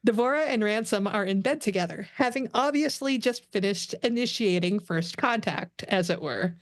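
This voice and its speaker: A woman's voice: mid-range voice, animated, normal speed, no echo. A woman narrating the stage directions of a script as scripted narration.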